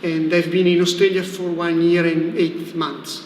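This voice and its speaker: High-pitched Italian priest in an echoey room. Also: male voice, level tone, unhurried. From Italy. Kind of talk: conversational religious talk in a livestream interview. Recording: echoey reverberant room with faint noise.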